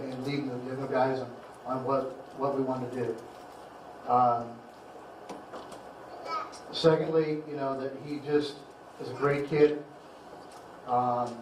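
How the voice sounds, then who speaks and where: A man who coaches high school football, giving a heartfelt banquet speech, halting and repeating words as emotion catches him. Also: darkish tone, muffled recording. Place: banquet hall. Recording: echoey hall, distant mic, faint noise.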